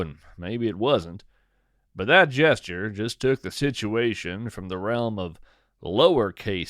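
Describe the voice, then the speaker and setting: A man narrating a scripted, whimsical nature story in a measured, dramatic storytelling manner with deliberate pauses. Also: lowish voice, no room sound, clean studio sound. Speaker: podcast host and narrator.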